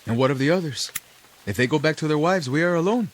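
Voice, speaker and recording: An actor playing a level-headed, skeptical rebel comrade, reasoning calmly in a radio drama. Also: male voice, a little high, a little hiss or hum, lightly acted. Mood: calm, doubting. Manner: measured, reasoning. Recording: clear insect and jungle ambience.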